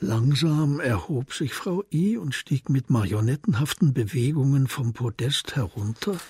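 A man's voice: medium pitch, lively intonation, a little slow, a little mellow, husky, dry recording, clean studio sound. A man reading scripted narration for a German crime radio play. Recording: faint music behind voice.

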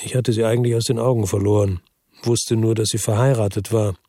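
A man plays a world-weary ex-policeman telling his own crime story for a radio play. His voice is low and husky, recorded dry and close. He speaks soberly, in few words. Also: fairly even intonation, a little fast, dark tone, dry recording, lightly acted. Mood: weary, reflective. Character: world-weary male ex-policeman from Marseille, narrating his murder case. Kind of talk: first-person radio drama narration and dialogue.

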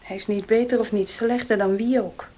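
A woman's voice: mid-range voice, steady tone, moderate pace, warm tone, lightly acted. An actress playing a defiant young working woman in a radio play, speaking in a tense, evasive and curt way. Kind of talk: Dutch radio play acting.

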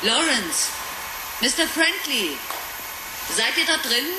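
A woman's voice: high-pitched, big pitch swings, takes it slowly, full character voice. A woman playing a concerned, curious colleague in a radio play, calling out. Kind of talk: 1980s German radio play acting. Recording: echoey, very noisy.

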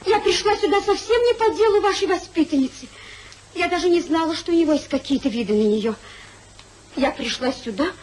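A spirited young society wife in a vintage radio comedy. Her high voice is proud and earnest, with emphatic stage diction. The old recording hisses faintly. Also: a little mellow, rough recording. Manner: dignified, emphatic, theatrical. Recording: hissy 1950s radio play recording.

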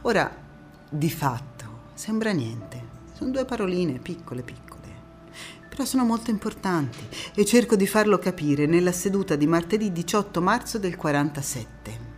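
Young female parliamentarian, theatrical, principled and firm, oratory and quiet recollection, over music. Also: fairly low pitch, sing-song, moderate pace. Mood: quiet, confiding. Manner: impassioned oratory alternating with quiet intimate narration. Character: young female Constituent Assembly deputy, firm, indignant, reflective, ironic. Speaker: stage actress. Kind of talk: theatrical monologue.

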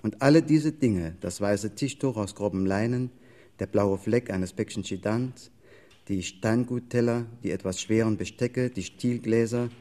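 A man's voice: on the low side, a little slow, warm tone. A man reading literary prose aloud in an archive recording from the 1960s. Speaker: author and translator.

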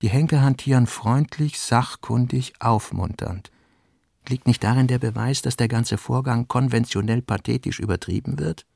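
A man narrating a literary radio drama, reading fragmentary notes in measured, clipped, deliberate phrases. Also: mid-range voice, gravelly, a little mellow, no room sound, studio quality.

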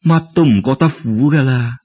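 A man's voice: mid-range voice, normal speed, breathy edge, lo-fi sound, close to an everyday voice. A man with a Cantonese accent voicing a devoted, long-suffering young bride in a storybook tale, heartfelt and pleading. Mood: gentle reassurance.

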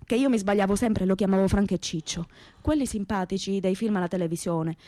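An actress plays a young southern Italian woman of simple background, with a Calabrian accent and a medium-pitched voice. She tells her story as a radio theatre monologue, plain-spoken and naive, in colloquial, dialect-tinged phrases. The sound is dry, with no room around her. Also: quick pace, warm tone, no room sound, close to an everyday voice. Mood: earnest, naive. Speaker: stage actress, narrator.

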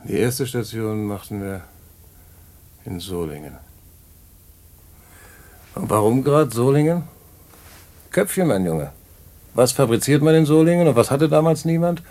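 A man's voice: mid-range voice, lively intonation, unhurried, long pauses, warm tone. An actor playing a worldly former racketeer, telling his story in a knowing, patronising way in a radio play. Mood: sly, pleased. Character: worldly male ex-racketeer fondly recounting his schemes. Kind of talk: radio play narration in dialogue.

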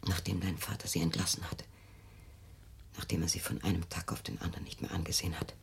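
An actress playing a bitter, defensive middle-aged mother in a German radio play, speaking intensely in a husky, breathy voice. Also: very deep voice, level tone, quick pace, whispered, exaggerated voice. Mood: bitter, hushed.

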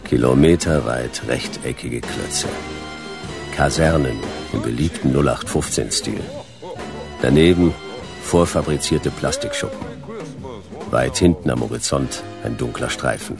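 A world-weary hardboiled private eye, with a very deep voice full of gravel. He talks in clipped, laconic phrases, as in a noir radio play, with music behind him. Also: unhurried, full character voice. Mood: brooding, laconic. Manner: clipped, laconic, dry delivery.

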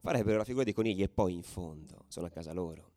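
A man's voice: low voice, racing, close to an everyday voice. A man playing a tough football ultra, telling his story as an intense, colloquial radio monologue. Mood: tense storytelling. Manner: colloquial, intense, swinging from hushed to shouted. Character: tough young male football ultra recounting his exploits.

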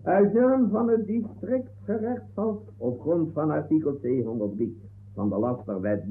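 Pompous courtroom judge, high and slow, blustering, tinny 1960s radio comedy. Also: male voice. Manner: pompous, halting, drawn-out delivery. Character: blustering, pompous male judge with football bias. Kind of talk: 1960s comic radio play acting. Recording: tinny, narrow-band 1960s radio recording.

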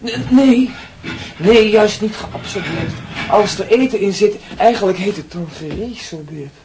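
An actor playing a casual, slightly pompous young student nephew in a radio play. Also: male voice, high voice, measured pace, a little mellow. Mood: tipsy, rambling. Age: young adult. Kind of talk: radio drama acting. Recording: distant, noisy old radio recording with clicks.

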